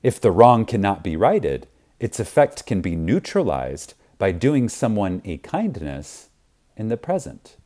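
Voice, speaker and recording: A man narrating an audiobook in a reverent, emphatic manner with deliberate, dramatic pauses. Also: lowish voice, very animated, mellow.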